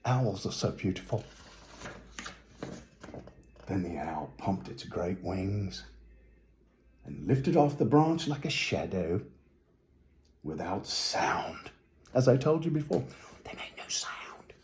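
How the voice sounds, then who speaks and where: A man's voice: lowish voice, animated, slow, pauses often, a little mellow, echoey room, slight background noise. A man reading a children's story aloud, with whimsical, musing asides to the listener. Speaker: storybook reader.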